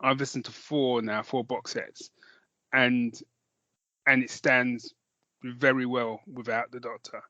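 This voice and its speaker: A man's voice: mid-range voice, level tone, slow, pushed and bright, no echo, dull audio. A man co-hosting a podcast in casual chat, jokey and self-mocking, with some swearing. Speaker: podcast co-host. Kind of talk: casual chat, recommending audio dramas.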